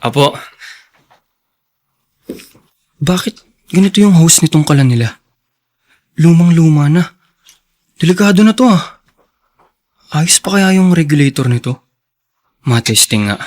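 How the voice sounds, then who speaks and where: A man's voice: fairly high pitch, animated, moderate pace, long pauses, a little mellow, natural voice. A man playing a respectful, helpful son who makes deliveries in a Filipino radio drama. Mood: uneasy. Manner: polite, deferential.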